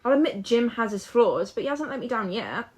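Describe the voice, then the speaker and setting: A woman's voice: on the high side, lively intonation, a little fast, close to an everyday voice. A woman acting in an audio drama as a restless, hopeful young woman who chats in a musing, playful way. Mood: teasing, hopeful.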